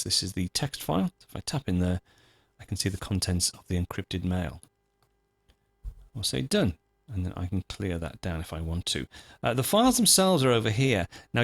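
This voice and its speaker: Low, creaky-voiced man presenting a tutorial. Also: no echo, clean studio sound. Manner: methodical, informal, talks through each step. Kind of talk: screencast tutorial, unscripted step-by-step narration.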